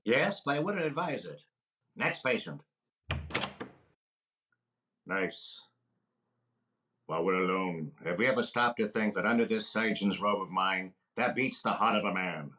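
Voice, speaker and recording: An actor playing a brash, fast-talking wisecracking comedian in a deadpan 1940s radio comedy style. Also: male voice, lowish voice. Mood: mock romantic.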